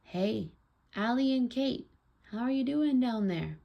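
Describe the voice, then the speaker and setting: A woman takes the part of an unflappable, practical dad in a children's storybook. The voice is husky and very creaky, speaking plainly to the kids. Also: fairly low pitch, very animated, normal speed, lo-fi sound, heavily acted. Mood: calling out. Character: easygoing, practical father of two young girls.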